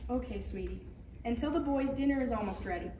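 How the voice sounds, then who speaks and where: A woman's voice: a little high, expressive, fast talker, warm tone, lightly acted. A woman playing a nervous, motherly queen who frets, in a read-through of a parody script. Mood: anxious, tender. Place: room at a table read. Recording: roomy distant recording with faint noise.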